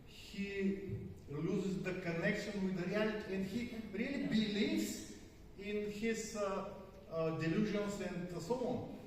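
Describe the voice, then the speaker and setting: A man with a Bulgarian accent giving a conference talk as a psychotherapist, speaking haltingly with many fillers and stutters. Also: high-pitched, slight background noise. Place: large conference hall. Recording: distant, echoey hall recording.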